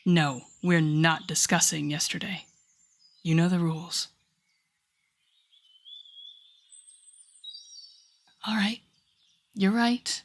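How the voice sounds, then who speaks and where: A worldly villainess with a lowish voice , acted by a woman speaks straight to her rookie hero. She is wry and teasing, a telepath mentor in an intimate audio drama, and talks in an easy conversational way. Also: measured pace. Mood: teasing, grumbling. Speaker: audio roleplay performer. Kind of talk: intimate second-person audio roleplay acting.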